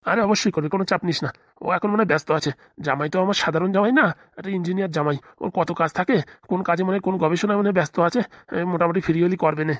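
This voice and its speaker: A woman's voice: on the low side, fast talker, no room sound, studio quality, natural voice. A woman voicing a village father in a cartoon comedy, soothing a grown daughter in folksy, colloquial speech. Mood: reassuring.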